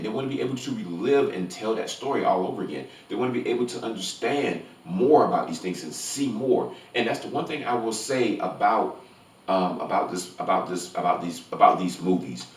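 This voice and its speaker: A man's voice: fairly low pitch, animated, brisk. A man giving a casual, enthusiastic film review to camera, gushing and emphatic, often repeating words for emphasis. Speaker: movie review vlogger. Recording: roomy echo, distant from microphone.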